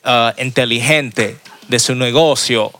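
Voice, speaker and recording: A man's voice: medium pitch, slow pace, bright, forceful tone. A man hosting a podcast, chatting casually and switching between Spanish and English slang. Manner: laid-back, mixes Spanish and English slang. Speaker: podcast host. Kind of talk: casual bilingual interview chat.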